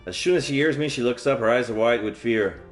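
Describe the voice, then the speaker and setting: A man's voice: mid-range voice, fairly quick. Male streamer reading a game story aloud, music behind. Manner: dramatic reading mixed with offhand asides. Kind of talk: reading visual novel aloud, casual commentary. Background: video game music.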